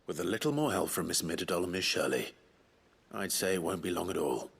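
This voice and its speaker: An actor playing a calm, kindly man who speaks gently and patiently in a video game. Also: low voice, gravelly, slightly rough, exaggerated voice. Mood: gently amused. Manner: measured, gentle, encouraging. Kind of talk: video game dialogue acting.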